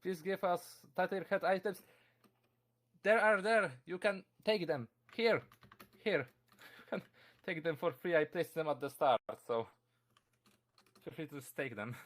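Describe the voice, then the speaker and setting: A young man with a Polish accent talks casually into a desk microphone. His voice sits a little high, and he stumbles through fillers and restarts, chuckling often. Keyboard typing can be heard behind him. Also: a little slow. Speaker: convention presenter. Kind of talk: casual live-streamed talk answering chat questions.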